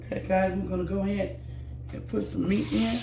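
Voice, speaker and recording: Woman cooking at home, a bit hoarse and gravelly, keeps saying guys, faint sizzle in the back. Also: mid-range voice, steady tone, a little fast, background noise. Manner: chatty, says "guys" constantly, repeats herself. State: slightly hoarse from illness. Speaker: cooking channel host. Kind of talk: casual cooking vlog. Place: home kitchen. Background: food sizzling in a pan.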